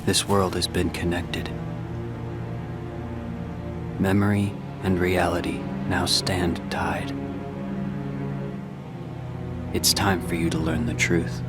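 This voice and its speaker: A man playing a calm, cryptic teenage boy made of data in a video game, speaking in a measured, serious way. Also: sits low, loud music behind the voice. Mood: solemn, cryptic. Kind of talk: video game cutscene acting.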